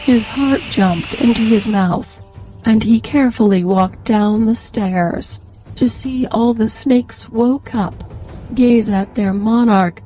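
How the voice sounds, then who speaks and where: A woman's voice: a little high, slow pace, dark tone, soft background music, low-quality recording. A woman narrating a scripted fairy tale as a storyteller.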